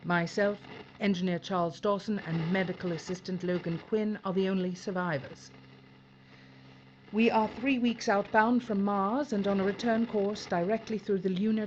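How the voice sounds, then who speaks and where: A woman playing a weary, determined spaceship pilot and commander in a science fiction audio drama, brisk and businesslike with a wry edge. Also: medium pitch, muffled recording, a little hiss or hum, natural voice.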